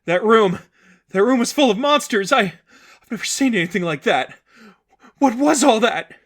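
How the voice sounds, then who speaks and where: A man's voice: high-pitched, lively intonation, moderate pace, fairly bright tone, voice pushed up, exaggerated voice. A man voicing a panicked, bewildered young woman in a story, with a stammering, exclaiming delivery.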